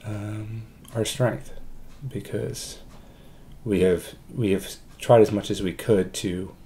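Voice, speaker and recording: A man in his forties speaking haltingly and reflectively in a documentary interview. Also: lowish voice, steady tone, dark tone. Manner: halting, hesitant delivery with long pauses. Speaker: adoptive parent, interviewee. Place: room at home, indoors.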